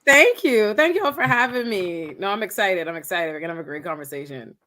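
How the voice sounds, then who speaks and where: A woman's voice: medium pitch, lively intonation, brisk, pushed and bright. A young woman from the Bahamas, a public defender, speaking as a guest in an emphatic, articulate way. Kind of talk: casual interview talk.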